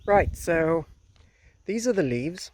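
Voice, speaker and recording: South african guy chatting to camera for a garden vlog, bit hoarse, on the higher side, faint outdoor noise. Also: lively intonation, slow pace. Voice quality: slightly hoarse. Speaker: gardening video host, nursery gardener. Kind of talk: informal vlog talk to camera. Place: outdoors in a breezy garden nursery. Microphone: phone at arm's length. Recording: outdoor phone recording with faint background noise.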